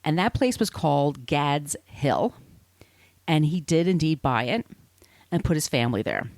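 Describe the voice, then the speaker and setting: A woman's voice: deep voice, expressive, a little slow, darkish tone, dry recording. A woman hosting a podcast, telling a researched story in a conversational, irreverent way with casual swearing. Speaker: podcast host.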